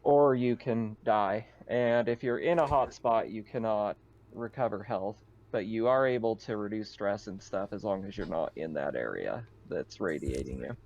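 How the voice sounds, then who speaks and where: A man's voice: on the low side, moderate pace, darkish tone. A man acting as game master for a tabletop roleplaying game, casually explaining the rules over an online voice chat. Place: online voice call. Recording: slightly noisy, dull online voice-chat audio.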